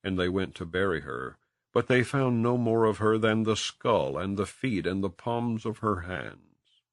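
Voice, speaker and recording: A man with a deep voice narrates old-fashioned King James scripture. His delivery is solemn and dramatic, moving widely in pitch as he tells the story. The sound is close and dry, with very little room in it. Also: lively intonation, a little slow, no room sound. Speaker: audiobook reader.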